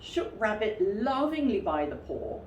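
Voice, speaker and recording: A woman storyteller reading a children's story aloud in an expressive style. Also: mid-range voice, unhurried. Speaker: storyteller, school reader. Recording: roomy, distant mic, faint background noise.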